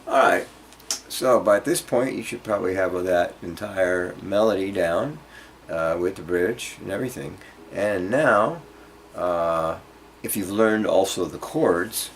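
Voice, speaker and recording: A man giving an informal guitar lesson, explaining things directly to the learner in a halting, conversational way. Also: low-pitched, slight background noise. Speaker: guitar teacher. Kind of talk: informal instructional music lesson to camera.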